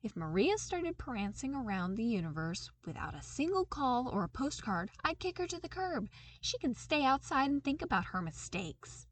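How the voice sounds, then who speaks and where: A woman reading aloud and voicing a feisty, sardonic young woman with sharp, emphatic lines. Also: fairly high pitch, big pitch swings, moderate pace, hardly any pauses, pitched above the performer's own voice. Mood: sassy.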